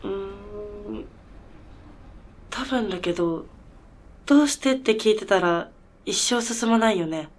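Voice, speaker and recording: A determined female mechanic , played by an actress, bewildered by what she faces. Her mid-pitched voice is casual and halting, with an incredulous edge, in the manner of radio drama dialogue. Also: expressive, a little hiss or hum, natural voice. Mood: dazed.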